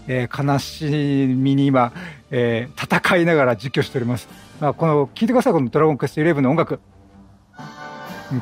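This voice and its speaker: Male gameplay commentator, thirties to forties, slightly high, animated, talkative and quippy, over game music. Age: 30s or 40s. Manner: chatty, reacts and quips at the characters.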